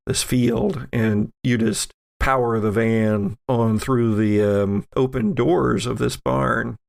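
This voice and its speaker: A man with a mid-pitched, creaky voice narrates a tabletop game. As game master he improvises scenes, stretching and pausing within his phrases. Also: steady tone.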